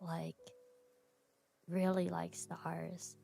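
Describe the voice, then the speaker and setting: Tired young woman, slow gravelly talk. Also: on the low side, monotone. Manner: soft, unhurried, long pauses and small laughs. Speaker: podcast host and streamer. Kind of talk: unscripted reflective podcast talk. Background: soft background music.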